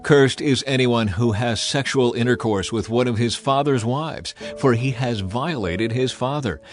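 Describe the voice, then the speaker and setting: A man voicing a solemn, commanding prophet addressing his people in a dramatized scripture reading, declamatory and deliberate. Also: mid-range voice, close to an everyday voice. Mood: stern, condemning. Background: music behind the voice.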